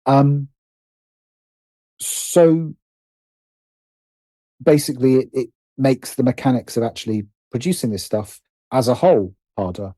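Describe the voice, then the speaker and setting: Man with very mellow voice, halting delivery. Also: medium pitch, no echo, poor audio. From United Kingdom. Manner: hesitant, repeats and rephrases phrases as he thinks. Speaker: guest, writer and producer. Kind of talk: casual interview conversation.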